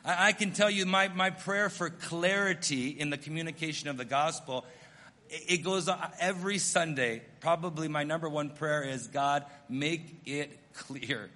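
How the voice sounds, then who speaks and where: A middle-aged American missionary pastor preaching a sermon, telling stories in an earnest, self-deprecating way. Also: male voice, fairly high pitch, moderate pace, sharp tone, in a large hall. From United States.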